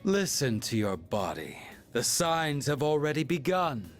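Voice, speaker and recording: An actor playing a coldly courteous game villain with formal, archaic, taunting speech who preaches like a false prophet. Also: male voice, fairly high pitch, sing-song, unhurried. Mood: coldly mocking. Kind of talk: English video game voice acting. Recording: music under the voice.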